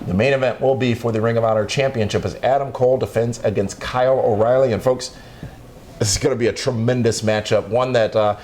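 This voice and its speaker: A man's voice: steady tone, a little fast, darkish tone, a little hiss or hum. A man hosting a wrestling talk show, running through the news and needling his co-host. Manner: teasing, roasts his co-host, pitches the show to viewers.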